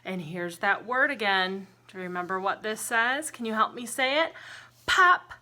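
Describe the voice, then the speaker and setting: A woman teacher reading a children's picture book aloud in an expressive, sing-song way, pausing to ask young listeners simple questions. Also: medium pitch. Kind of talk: interactive children's storybook read-aloud with counting and singing.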